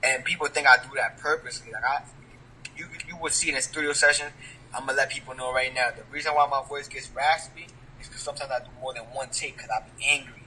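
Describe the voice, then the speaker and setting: Bright-voiced New rapper, laid-back slang. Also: male voice, mid-range voice, steady tone, quick pace, slight background noise, poor audio. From New York. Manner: laid-back street slang, keeps asking "you get what I'm saying?". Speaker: rapper, interview guest. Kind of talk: casual interview talk.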